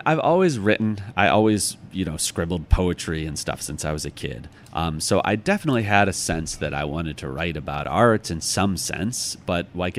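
Very low-voiced American man, thoughtful. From United States, Chicago. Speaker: interview guest, author. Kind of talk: reflective casual conversation. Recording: clearly noisy background.

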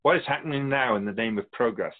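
A man playing a calm, wise, otherworldly keeper of the soul realm in a radio drama, speaking in a measured, gently lecturing way. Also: mid-range voice, lightly acted. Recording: tinny phone-like sound.

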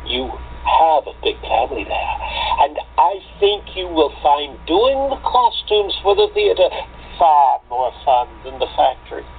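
Man playing anxious, gravelly old-country father on tinny line. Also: on the high side, big pitch swings, unhurried. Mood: earnest, persuading. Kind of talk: amateur radio play acting. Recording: tinny phone-like line with noise.

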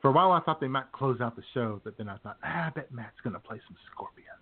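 A man's voice: medium pitch, lively intonation, quick pace, a little hiss or hum. A man co-hosting a music radio show, introducing songs with casual, folksy, jokey banter. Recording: tinny phone-like sound.

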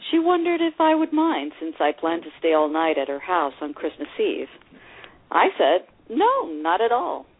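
An actress plays a reflective older divorced woman telling her memoir, tender and easily hurt. Her voice is very low for a woman and moves expressively. It comes dry and thin, as through a phone or small radio. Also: no echo, phone-quality sound, exaggerated voice. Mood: anxious, reflective. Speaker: actor reading a memoir. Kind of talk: dramatized first-person memoir reading.

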